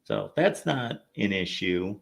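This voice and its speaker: A man hosting a live call-in sports show, a little raspy from no sleep, chatting through viewer comments and game analysis. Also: on the low side, animated, takes it slowly. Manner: reads viewer comments aloud, conversational analysis. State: slightly raspy from lack of sleep. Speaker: host.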